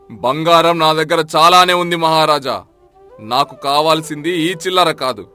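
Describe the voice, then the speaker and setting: A man acts out an arrogant merchant villain from an audio folk tale. The voice is sharp and forceful, pitched a bit high, with haughty false courtesy and menace over faint music. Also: takes it slowly. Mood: haughty bargaining. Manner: haughty, mock-polite, threatening. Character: arrogant, scheming male grain merchant, smug and defiant.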